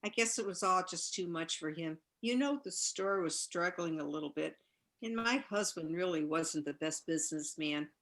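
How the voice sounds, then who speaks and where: A woman playing a polite, composed widow in a noir radio play, speaking in a measured, matter-of-fact way. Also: fairly low pitch, fairly bright tone. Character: newly widowed shopkeeper's wife, polite and composed. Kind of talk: 1940s noir radio acting, live community theatre.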